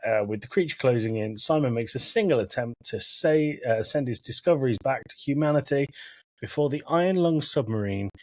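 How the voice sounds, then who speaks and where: A man's voice: fairly low pitch, dry recording. A man hosting an informal podcast about films and games, talking with jokey asides and some swearing. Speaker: podcast host. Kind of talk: informal film and game discussion, recapping from notes.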